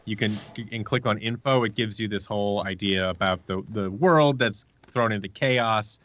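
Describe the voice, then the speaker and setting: A man with a fairly low, sharp-edged voice talks casually as a guest in an interview. He explains things at length and slips into quoted exchanges. The sound is very dry, with a slightly dull, lo-fi recording. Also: moderate pace, dry recording. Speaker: guest, audio drama creator.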